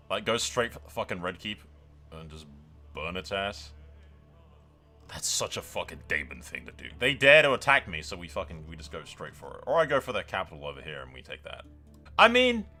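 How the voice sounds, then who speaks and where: A man giving excited, sweary video game commentary with a theatrical, roleplaying streak. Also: lowish voice.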